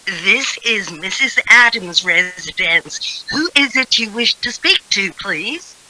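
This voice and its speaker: A woman's voice: medium pitch, lively intonation, takes it slowly, bright, forceful tone, exaggerated voice, low-quality recording. A woman playing a formal, guarded, matter-of-fact figure answering a household telephone in an old-time radio drama. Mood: polite, guarded.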